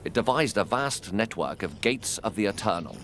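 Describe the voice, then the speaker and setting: An actor playing a battle-weary knight commander in a fantasy game, with a commanding, martial way of speaking. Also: male voice, lowish voice, lively intonation, normal speed, fairly bright tone, slight background noise. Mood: stern, businesslike. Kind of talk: scripted fantasy game cutscene acting.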